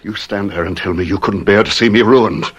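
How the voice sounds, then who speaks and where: Husky, tormented, jealous husband. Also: male voice, fairly low pitch, brisk, dull audio. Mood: wounded rage. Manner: melodramatic, anguished. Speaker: actor. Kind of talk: radio drama acting.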